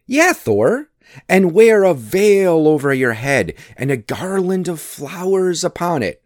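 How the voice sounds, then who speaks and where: A man's voice: lowish voice, huge pitch swings, slow, dry recording, clean studio sound, full character voice. A man voicing a cunning, mocking trickster god from a myth, teasing and coaxing as he schemes. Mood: gleeful mockery. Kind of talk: dramatic myth storytelling.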